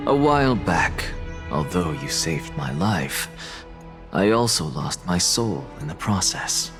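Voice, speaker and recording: An actor playing an aloof, defiant male scholar in a game, speaking in a cool, lecturing, disdainful way. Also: on the low side.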